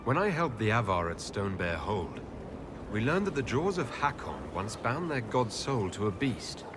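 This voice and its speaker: An actor voicing a composed male elf hero in a video game, asking questions in a measured way. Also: on the low side, sing-song, noisy background. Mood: serious. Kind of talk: video game dialogue acting.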